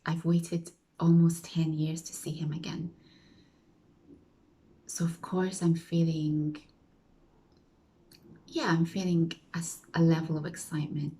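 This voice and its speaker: A woman's voice: sits low, flat delivery, a little slow, long pauses, warm tone. A woman vlogger chatting casually to her viewers, telling a story with frequent self-corrections and stretches that drop to a whisper.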